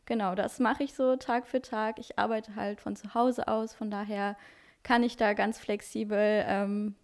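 A woman's voice: medium pitch, little pitch movement, unhurried. A woman in her twenties from Luxembourg, speaking German with a Luxembourgish accent as a podcast guest, earnest and with fillers. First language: not German. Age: 20s. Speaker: interview guest, coach. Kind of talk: casual interview talk.

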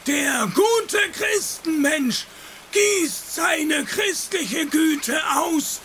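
A shouting old priest. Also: male voice, very high voice, expressive, extremely slow, a little hiss or hum, full character voice. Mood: indignant, shouting. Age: older. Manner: shouting, pleading, mocking. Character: desperate old male priest, pleading then drowning. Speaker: priest character. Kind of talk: radio drama acting.

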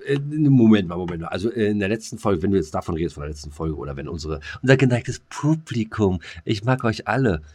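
A man's voice: lowish voice, expressive, fast, few pauses, darkish tone, breathy edge. A man co-hosting a podcast, chatting casually with slangy, mock-scolding banter. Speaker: podcast co-host. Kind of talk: casual chat between two friends.

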